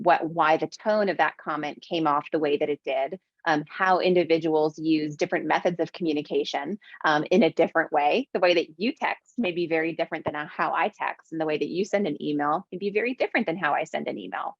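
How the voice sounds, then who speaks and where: A woman's voice: sits low, brisk, hardly any pauses, no echo, muffled recording. A woman from the American South, a business owner answering interview questions with emphatic repetition. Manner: emphatic, repeats phrases for stress.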